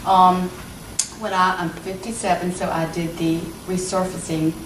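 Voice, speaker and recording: A woman's voice: lowish voice, little pitch movement, unhurried, distant mic. Woman in her fifties, echoey and off-mic. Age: 50s. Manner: conversational, hesitant with fillers. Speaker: patient giving a testimonial. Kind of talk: interview answers. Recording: echoey room, voice from off-mic, background noise.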